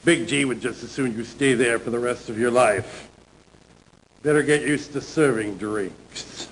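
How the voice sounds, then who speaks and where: An actor playing a smug, envious pool shark in a video game cutscene, with showy, drawling taunts. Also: male voice, medium pitch, a little slow, slight background noise, lightly acted, poor audio. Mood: smug, taunting.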